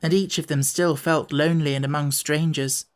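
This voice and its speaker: A woman's voice: deep voice, fairly even intonation, moderate pace. A woman reading a scripted children's story as an audiobook narrator.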